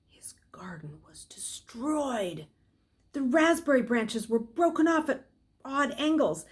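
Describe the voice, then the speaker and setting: Expressive middle-aged woman reading a story aloud. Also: fairly high pitch. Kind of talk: expressive read-aloud narration. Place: small furnished room at home, indoors.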